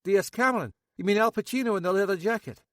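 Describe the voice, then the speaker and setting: A man voicing a jittery, battered young man who talks fast and rambles anxiously, with a Scottish accent. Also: high-pitched, dry recording, clean studio sound, pitched above the performer's own voice. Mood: rattled. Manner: excitable, rambling, self-pitying.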